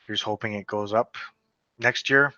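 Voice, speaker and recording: A man from western Canada talks through a list of beer awards in a loose, conversational way, with a bright, forward voice. He stumbles over unfamiliar brand names as he goes. The recording is dry and slightly dull. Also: lowish voice, fairly even intonation, measured pace, dry recording. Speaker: beer reviewer. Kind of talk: casual solo video commentary reading award results.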